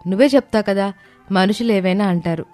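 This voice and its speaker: A devoted, headstrong daughter of about thirty, played by a woman narrator in a storybook tale. Her voice is mid-pitched and earnest, with music underneath. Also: quick pace, a little mellow, natural voice. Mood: stubborn, consoling. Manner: indignant, protective of her father. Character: fiercely loyal unmarried daughter of about thirty.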